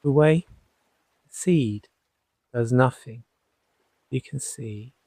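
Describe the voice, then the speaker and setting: A man speaks as a hypnotherapist leading listeners toward sleep. His voice is mellow and creaky, in a dry, close recording. He is reassuring and unhurried, letting each short phrase settle before the next. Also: medium pitch, dry recording. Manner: soothing, unhurried, gently reassuring, with long pauses. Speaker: hypnotherapist guiding a sleep session. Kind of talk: guided sleep hypnosis narration.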